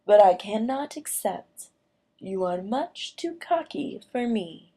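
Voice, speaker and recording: A woman voicing a young female cockroach in a storybook, dainty, anxious and sharp-witted, with a coyly polite way of speaking. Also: mid-range voice, animated, slow pace. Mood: coolly polite.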